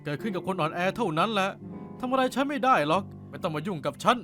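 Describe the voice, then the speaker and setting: An actor voicing a cocky, stubborn schoolboy football player in an animated cartoon, with a brash, boastful manner. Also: medium pitch, fast, music in the background, lightly acted.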